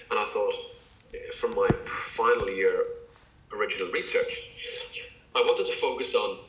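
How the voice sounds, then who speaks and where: A man's voice: lowish voice, fairly bright tone. A male doctor from England, a podcast guest, talking in a hesitant way with many fillers and restarts. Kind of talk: casual interview talk. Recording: echoey, dull lo-fi sound.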